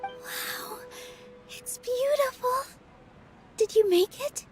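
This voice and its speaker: A woman voicing a sweet, polite young dancer in a video game, with a gentle, courteous manner. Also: extremely high pitch, slow. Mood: delighted. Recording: loud music behind the voice. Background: music.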